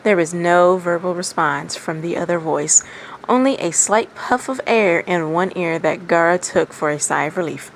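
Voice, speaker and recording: A woman reading fan fiction aloud as expressive story narration. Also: on the low side, moderate pace, a little hiss or hum, low-quality recording.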